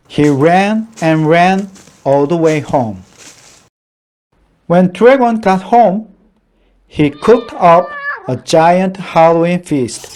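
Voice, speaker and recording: A man reading a children's picture book aloud in a deliberate, dramatic storytelling manner. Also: a little high, darkish tone.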